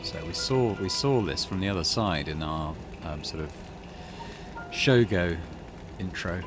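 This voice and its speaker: A man's voice: low voice, animated, measured pace. A man hosting a let's play, reading a visual novel's text aloud and adding his own comments. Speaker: gaming channel host. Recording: music behind the voice. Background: game music.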